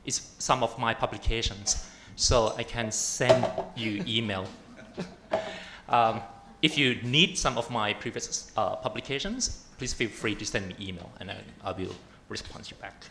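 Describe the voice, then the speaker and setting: A man's voice: lowish voice, measured pace. A man with a Thai accent presents his research at a panel. He speaks hesitantly with frequent fillers, and some faint noise sits behind his voice.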